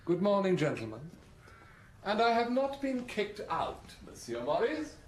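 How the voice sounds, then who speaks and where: Prickly young secretary in a radio mystery, curt and formal, highish voice, echoey. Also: male voice, sing-song, a little slow, slight background noise. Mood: stiff, indignant. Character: stiff, offended young male private secretary. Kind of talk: radio detective drama acting.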